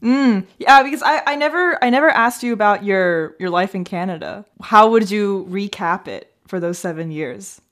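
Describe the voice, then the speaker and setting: A woman in her twenties hosting a podcast interview, relaxed and friendly, sharing her own stories. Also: medium pitch, moderate pace, few pauses. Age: 20s. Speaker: podcast host.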